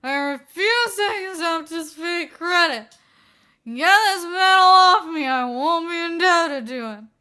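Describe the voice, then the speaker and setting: A woman plays a proud, frazzled detective in an audio story. He talks through clenched teeth in a high, bright, indignant growl. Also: lively intonation, slow, full character voice. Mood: furious.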